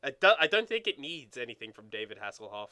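A man's voice: medium pitch, very animated, quick pace, sharp tone, clear, resonant tone, no echo. A young man giving casual gaming commentary, rambling and swearing as he banters. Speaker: let's play co-host.

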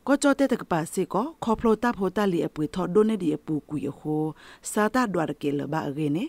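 A woman's voice: lowish voice, expressive, fairly quick, darkish tone, no echo. A woman radio news presenter reading a scripted bulletin.

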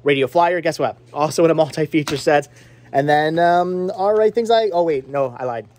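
Higher-voiced man, rambling shopping vlogger. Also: normal speed, a little mellow, slight background noise. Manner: rambling, self-interrupting, playful. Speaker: vlogger and movie collector. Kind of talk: casual chatty shopping vlog. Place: indoor video and game shop. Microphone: handheld camera at arm's length.

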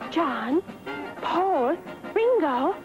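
A tiny cartoon leprechaun girl with a high, very animated voice. She is persuasive and showy, a slick little schemer, over music on a dull old soundtrack. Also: extremely slow, full character voice. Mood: brisk salesmanship. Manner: sweet-talking, persuasive, showy. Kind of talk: 1960s animated cartoon acting.

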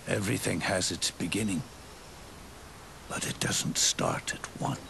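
An actor playing an aging, weary, scarred old soldier, grave and reflective, with a deep, gravelly, breathy growl and a slow, halting, solemn delivery in a video game cutscene. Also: male voice, pushed and bright, slight background noise, heavily acted. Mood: solemn, philosophical. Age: older. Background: orchestral music.

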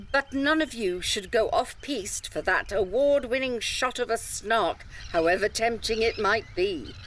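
A woman's voice: on the high side, slight background noise. A woman playing a polite, patient tour guide giving instructions to day trippers in an audio drama. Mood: instructive, cautioning. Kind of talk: audio drama acting, public briefing.

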